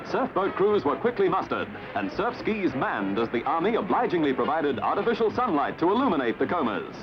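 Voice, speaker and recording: A band-limited vintage newsreel soundtrack with prominent music carries an Australian man's narration. His voice sits fairly high and swings widely in pitch. He delivers scripted 1950s commentary like a jaunty, theatrical announcer. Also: sing-song, brisk, muffled recording.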